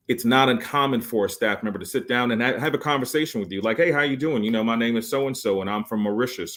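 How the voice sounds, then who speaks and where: Low-voiced man pitching resorts. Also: fairly bright tone. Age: 40s. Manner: enthusiastic salesy pitch with many fillers. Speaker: resort sales guest. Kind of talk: podcast interview.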